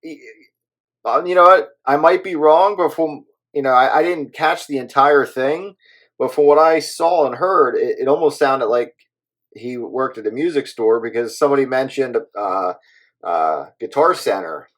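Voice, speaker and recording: American man, medium pitch, casual radio-hobbyist chat, hesitant, low-key, explanatory. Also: normal speed, a bit bright.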